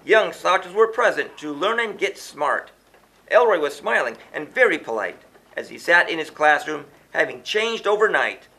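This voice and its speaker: A man narrates a children's story in verse. His voice sits high and swings widely in pitch. He leans into the rhythm theatrically, like a storybook reader performing for young listeners. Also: expressive, normal speed, vocal fry, bright, forceful tone, rough recording. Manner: theatrical, sing-song rhythmic delivery. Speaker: children's book author reading aloud.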